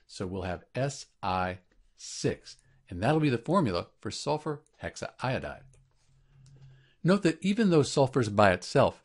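A man narrating an instructional chemistry tutorial, explaining clearly step by step as a teacher. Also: on the low side.

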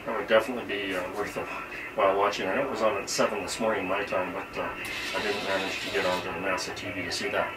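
A middle-aged man giving an informal amateur radio demonstration and making calls in a halting manner. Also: low voice, flat delivery, loud music behind the voice. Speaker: amateur radio operator demonstrating satellite contact. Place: small cluttered workshop or radio shack. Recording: loud radio sounds, roomy camera audio.

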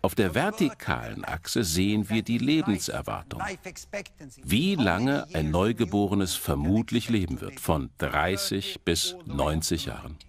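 A man presenting a documentary lecture in an emphatic, explanatory manner with dramatic pauses. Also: low-pitched, sing-song, vocal fry, a crowd in the background. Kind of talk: animated documentary lecture to an audience.

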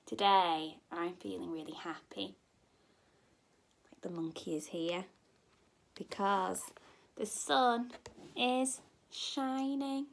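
Young female nursery teacher for story time: gentle, expressive delivery to little ones, very unhurried, with noticeable vocal fry. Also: mid-range voice, a little hiss or hum, poor audio. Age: young adult. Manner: expressive, gently addressing small children. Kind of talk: children's story reading. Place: indoor room at home.

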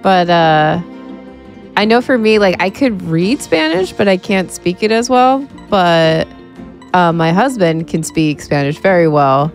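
A woman with a fairly low voice chats casually on a remote call, rambling and leaning on filler words. Faint game music plays behind her. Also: lively intonation, measured pace. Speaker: speedrun commentator. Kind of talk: casual chat.

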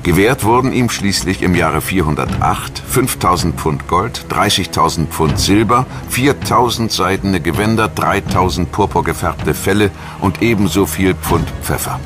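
Male documentary narrator, extremely low voice, scripted delivery, music underneath. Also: animated, normal speed, hardly any pauses. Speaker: documentary narrator and voice-over translator.

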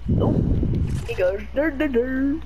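Excitable young gamer girl, high voice. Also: takes it slowly. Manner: excitable, chatty, jumps into high yelps when in danger. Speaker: gamer streaming her play. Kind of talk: casual gaming chat. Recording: game audio noise behind.

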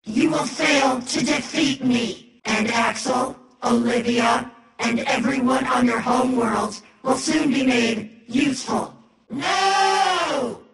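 A woman playing an imperious supercomputer villain in a video game, speaking in measured lines with a reverberant machine effect. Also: high voice, creaky, full character voice. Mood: menacing. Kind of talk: dramatic game villain acting. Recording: cavernous echo, distant, music behind.

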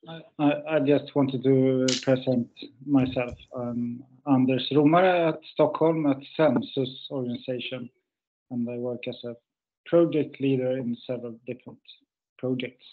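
A man's voice: mid-range voice, fairly even intonation, measured pace. A man, a project leader, briefly introducing himself on a video call in a matter-of-fact way. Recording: tinny phone-like call audio.

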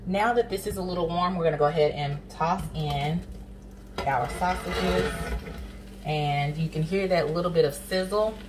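A woman's voice: lowish voice, normal speed. A young woman, chatting casually through a cooking demo in her home kitchen and calling viewers honey and sis. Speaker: cooking vlogger. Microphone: camera nearby, speaker off to the side. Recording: kitchen with frying noise. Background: sizzling pan and stirring.

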